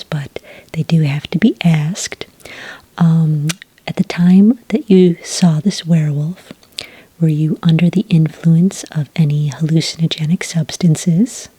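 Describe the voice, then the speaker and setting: A woman playing a calm, polite agent who takes sighting reports in a soft-spoken ASMR roleplay, breathy and whispery, with gentle, patient questioning. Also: low-pitched, measured pace, no echo, exaggerated voice. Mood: tactful, earnest.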